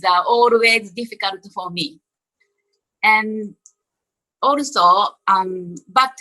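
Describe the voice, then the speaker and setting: Slow, halting woman. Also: a little high, bright, forceful tone, low-quality recording. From Japan. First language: Japanese. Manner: halting, with many restarts. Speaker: panelist. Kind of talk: self-introduction on a panel.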